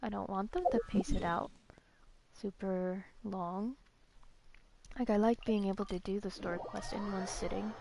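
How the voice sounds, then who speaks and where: A woman's voice: medium pitch, dark tone, slight background noise, poor audio. A woman streaming a game, chatting casually in a rambling, informal way. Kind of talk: casual live stream chat. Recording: clear music behind voice. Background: music.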